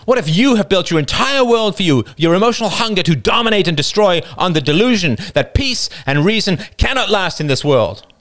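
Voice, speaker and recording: A man's voice: high voice, very animated, brisk, hardly any pauses, a bit bright, pitched above the performer's own voice. A man voicing an impassioned male speaker who lectures and accuses a rival in a story. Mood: indignant. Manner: righteous, accusing, preachy.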